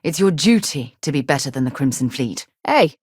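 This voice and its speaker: A woman's voice: rumbling low, expressive, moderate pace, slightly rough, no echo, clean studio sound, heavily acted. A woman voicing an articulate, earnest and wry explorer who leads with principle in a space video game. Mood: earnest, firm. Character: principled, adventurous woman explorer, leader in a space-faring society. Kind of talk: video game character dialogue.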